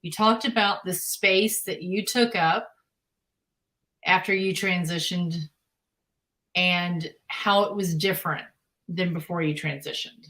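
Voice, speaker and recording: A woman's voice: fairly low pitch, slow pace, long pauses, a bit bright. A woman hosting an interview, setting up a question earnestly and at some length. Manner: earnest, rambling question setup. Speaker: host.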